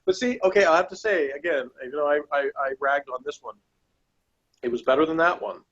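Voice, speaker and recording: A man chatting casually as a panel reviewer of a TV episode, halting and repeating words as he argues his point. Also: on the high side, a bit bright, husky. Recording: slightly dull, lo-fi sound.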